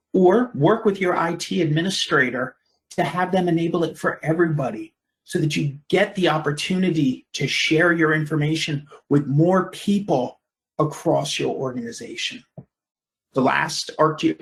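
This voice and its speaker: A man presenting a software product in a webinar, explaining in a measured way with brief pauses. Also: a little high, low-quality recording.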